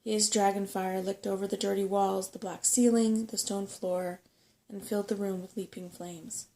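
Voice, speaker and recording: A soft-spoken woman reading a bedtime story in a gentle, unhurried ASMR style. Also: medium pitch, flat delivery, poor audio. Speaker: audiobook-style reader.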